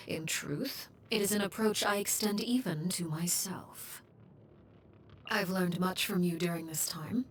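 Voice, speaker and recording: Woman voicing stern scary lady boss from a video game, hushed breathy kinda low voice, very measured and formal, bit of rumble noise. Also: lightly acted. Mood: composed, formal. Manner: measured, formal, deliberate, coolly restrained. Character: stern, composed woman, cold menacing leader of an orphanage. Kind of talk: scripted video game character voice lines.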